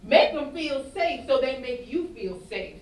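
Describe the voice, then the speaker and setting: A woman's voice: high voice, expressive, unhurried. A woman playing a worldly, cynical, hard-bitten Creole woman in a staged play reading, speaking bluntly and knowingly in vernacular. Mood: urging, blunt. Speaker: student actor in a staged reading. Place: indoor festival staged reading. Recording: echoey room, distant mic, faint noise.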